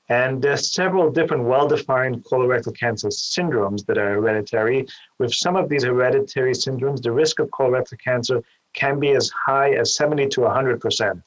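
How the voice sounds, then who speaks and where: A man's voice: medium pitch, lo-fi sound. A man from Austria, a guest surgeon, who explains things carefully and often repeats a small word as he starts a point. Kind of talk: explanatory interview answers.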